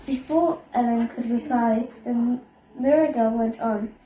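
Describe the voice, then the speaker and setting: A woman's voice: fairly high pitch, unhurried, highs cut off. A female storyteller reading a children's story aloud. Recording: echoey room, faint background noise.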